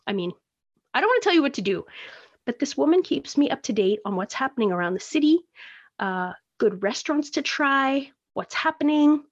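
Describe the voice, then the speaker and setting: Canadian woman, solo podcast host, chatty and self-mocking, slightly high voice, somewhat dull recording. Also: sing-song, fairly quick. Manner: rambling, self-deprecating, chatty. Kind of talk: casual solo podcast monologue. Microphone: phone recording.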